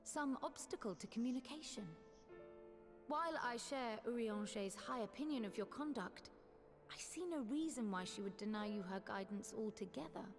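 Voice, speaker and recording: A learned woman of a fantasy game world, composed and formal. Her diction is measured and old-fashioned. The voice rings with long reverb over clearly audible music. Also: fairly high pitch, animated. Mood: measured, thoughtful. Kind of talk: scripted video game voice acting.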